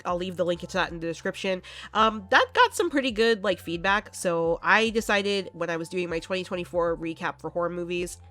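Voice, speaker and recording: A young woman hosting a casual horror movie vlog, chatty and quick to laugh, sounding a bit congested from allergies. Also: fairly low pitch, fairly bright tone, soft background music. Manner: chatty, laughs often, many fillers.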